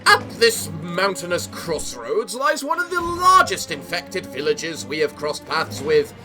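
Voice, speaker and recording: Lively high-voiced English man. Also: measured pace, music in the background. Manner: theatrical gentleman persona, grand greeting. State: sick, voice sounds off. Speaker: gaming YouTuber. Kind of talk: casual let's-play commentary.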